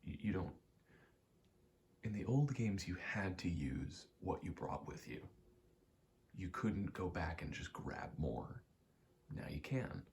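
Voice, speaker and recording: A soft-spoken man rambling casually in ASMR style, meandering and murmuring, often dropping toward a whisper. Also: sits low, lively intonation, slow, long pauses. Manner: meandering, self-correcting, casually sweary. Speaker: video maker rambling over gameplay. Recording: faint background noise.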